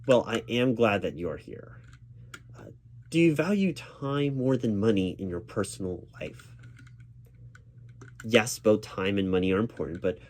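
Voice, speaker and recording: A man playing a job interviewer in a spoken ASMR roleplay, talking in a reassuring, halting way with repeated words. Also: on the low side. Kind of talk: ASMR roleplay, spoken aloud rather than whispered. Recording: steady keyboard typing noise behind voice. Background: computer keyboard typing.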